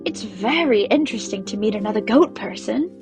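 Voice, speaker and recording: An actress playing a small, sweet, excitable goat woman in a fantasy audio drama, bubbly and flustered. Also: medium pitch, brisk, faint music behind, natural voice. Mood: chirpy, bashful.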